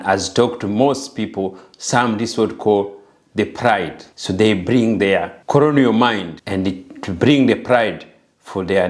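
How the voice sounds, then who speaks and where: Male speaker, middle-aged, Rwandan accent. Lowish voice, very unhurried, earnest preacher-style address with repeated phrases. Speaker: speaker and preacher of African spirituality. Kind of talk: spoken message to the camera, preacher-like talk.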